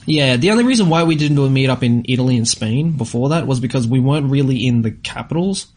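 A man's voice: mid-range voice, animated, fast talker, a little mellow, slightly rough. Man chatting casually as podcast co-host. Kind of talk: casual chat about travel.

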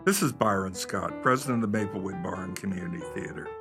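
Guitar and piano music plays under a man with a fairly low voice. He reads a scripted radio promo as head of a community theatre. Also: fast talker.

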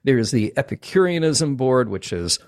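A man's voice: medium pitch, big pitch swings, normal speed, darkish tone. A chatty man with a mid-range voice hosts a live fan show. He speaks informally and with enthusiasm, as if addressing regular listeners. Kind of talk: casual live podcast hosting.

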